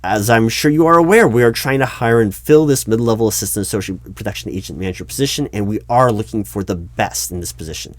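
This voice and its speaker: A man playing an earnest, slightly flustered recruiting manager in an interview roleplay, speaking in wordy, halting corporate jargon. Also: lowish voice, no room sound, close to an everyday voice. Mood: businesslike, earnest. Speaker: roleplay performer. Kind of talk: one-sided interview roleplay, soft-edged office talk.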